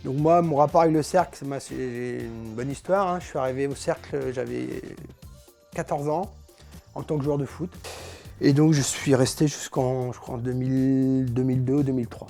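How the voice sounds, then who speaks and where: A man nearing fifty talks casually in a creaky, mellow voice of middling pitch. Faint music plays behind his interview. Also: steady tone, moderate pace. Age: late 40s. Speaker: civil servant, former club player.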